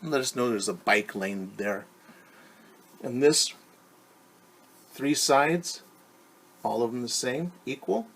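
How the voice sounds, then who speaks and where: A man reading a picture book aloud to young children as their teacher, patiently asking them questions and pausing for answers. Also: mid-range voice, creaky, a bit bright, slightly rough. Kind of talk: children's storytime read-aloud.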